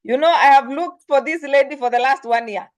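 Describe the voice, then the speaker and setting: A woman's voice: high-pitched, a little fast, no room sound. A woman with a Kenyan accent, hosting with effusive, promotional announcements. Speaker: host. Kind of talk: enthusiastic event announcements and guest introduction.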